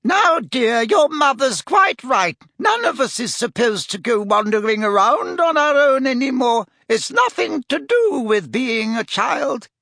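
A man's voice: very high-pitched, sing-song, measured pace, vocal fry, hardly any pauses, pushed and bright, no echo, clean studio sound, voice pushed up, heavily acted. A man voicing a fussy, flustered female shopkeeper in a storybook reading, fluttery and motherly as she scolds. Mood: anxious scolding.

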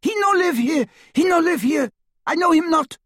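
Man doing a shrill frightened German woman. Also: expressive, fairly bright tone, no room sound, clean studio sound. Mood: terrified pleading. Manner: halting broken English, pleading. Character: frightened foreign woman speaking broken English.

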